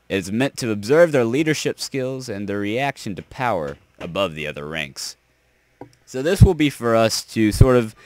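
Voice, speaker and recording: A young man losing his voice, giving a rambling, halting explanation of server ranks with lots of fillers and restarts. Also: fairly low pitch, a little mellow, no room sound. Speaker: server owner.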